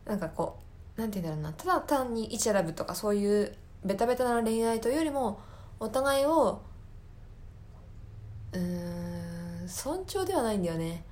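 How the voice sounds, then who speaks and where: A woman hosting a casual solo radio show, speaking earnestly and often pausing to search for words and rephrase. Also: mid-range voice, animated, a little mellow. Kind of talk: casual monologue, recommending a favorite film to listeners. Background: background music.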